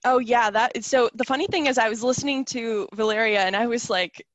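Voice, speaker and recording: A woman's voice: on the high side, brisk. A young woman from South Africa whose first language is Serbian, chatting casually as an interview guest, with lots of "like" and "um". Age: young adult. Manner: chatty, many fillers like "like" and "um".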